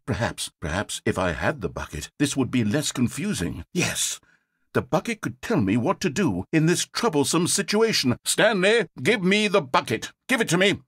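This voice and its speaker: A man's voice: a little high, a bit bright, slightly rough, heavily acted. A man voicing a sarcastic, theatrical narrator in a video game, swinging between dry wit and grand rapture. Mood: flustered. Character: wry, theatrical male narrator of a game, adult.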